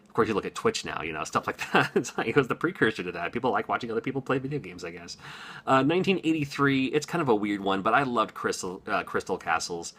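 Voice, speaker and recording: Chatty man with a bright forceful voice. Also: lowish voice, animated, fast talker, breathy edge. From Washington, USA. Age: 40s. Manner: rambling and chatty, many ums and asides, chuckles often. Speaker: gaming YouTuber. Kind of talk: casual unscripted monologue to camera.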